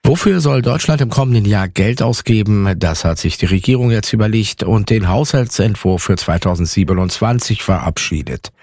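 A man reading the radio news in a formal, measured, even manner from a studio. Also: lowish voice, dry recording. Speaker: newsreader.

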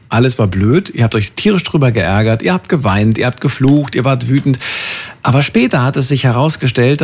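A male radio host with a slightly husky voice that swings up and down a lot. He talks in a chatty, brisk way and keeps the show moving. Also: medium pitch. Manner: chatty and lively, quick to move on. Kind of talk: call-in radio hosting.

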